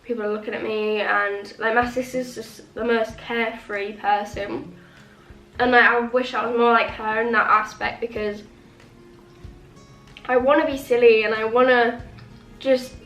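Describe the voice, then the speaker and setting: A woman's voice: a little high, fairly even intonation, a little slow, fairly bright tone. A young woman chatting casually to camera as a vlogger, sniffly with a cold and rambling with lots of "like". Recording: echoey room with faint music. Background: faint music.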